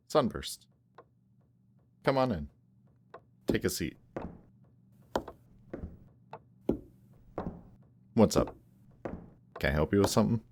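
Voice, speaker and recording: A man's voice: lowish voice, noisy background. A man playing a guarded supervillain in a roleplay audio drama, sardonic and awkward whenever he shows that he cares. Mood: casual, scolding. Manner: sardonic, hesitant when showing care. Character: gruff, guarded male supervillain, secretly caring, sardonic.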